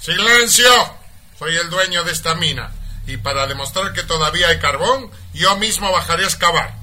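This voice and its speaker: A man playing a sharp, cruel mine boss. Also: on the high side, animated, moderate pace, soft background music. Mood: scornful, bossy. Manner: contemptuous, melodramatic. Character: cruel, greedy, scheming male mine owner. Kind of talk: parody radio melodrama acting. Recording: light background noise.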